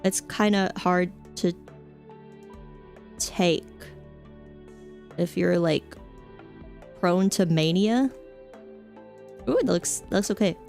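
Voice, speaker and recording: A woman's voice: lowish voice, darkish tone. A young adult woman streamer chatting casually, rambling with lots of fillers and offhand jokes. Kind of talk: casual chat stream, reading chat.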